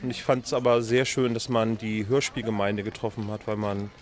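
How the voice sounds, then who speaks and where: A man's voice: lowish voice, level tone, a little fast. A man chatting casually as a podcaster, hesitantly searching for words. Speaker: podcaster, interviewee. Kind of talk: casual chat. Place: outdoors at a convention.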